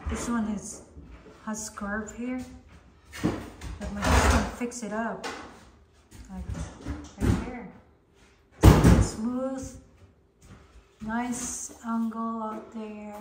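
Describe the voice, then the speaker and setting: A woman walking through a room being renovated, chatting in a halting way and cheering on her husband's work, filming on her phone. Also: mid-range voice. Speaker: vlogger showing home renovation. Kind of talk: casual home renovation walkthrough. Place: bare room under renovation, indoors. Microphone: phone held while filming. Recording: echoey empty room with background noise.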